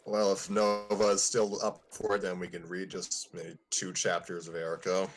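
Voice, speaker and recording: A man's voice: deep voice, level tone, normal speed. A man hosting a casual group podcast, chatting and reading fan fiction aloud.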